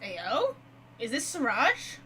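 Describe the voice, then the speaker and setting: A woman's voice: fairly high pitch, huge pitch swings, slow pace, pushed and bright, rough recording. A woman giving casual game playthrough commentary, chatty and reactive, thinking aloud. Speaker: gamer, streamer. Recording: faint background noise.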